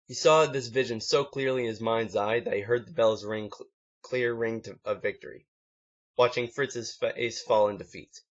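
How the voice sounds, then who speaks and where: Halting amateur male story narrator, muffled sound. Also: medium pitch. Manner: halting, stumbles over words. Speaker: amateur fan fiction reader. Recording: muffled lo-fi home recording.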